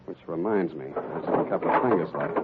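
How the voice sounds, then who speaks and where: A man's voice: extremely low pitch, fairly quick. An actor playing a hard-boiled male private detective in a 1940s radio drama, talking in a dry wisecracking drawl. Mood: wry. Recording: tinny lo-fi old radio broadcast with hiss.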